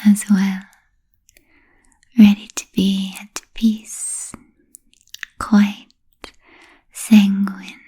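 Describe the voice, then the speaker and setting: Woman as a softly whispering ancient vampire. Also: medium pitch, full character voice. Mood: soothing, ominous. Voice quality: breathy, unvoiced whisper. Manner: slow, halting, formal and deliberate phrasing. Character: genteel ancient vampire, languid, soothing, politely predatory. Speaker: ASMR performer. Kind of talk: whispered ASMR roleplay.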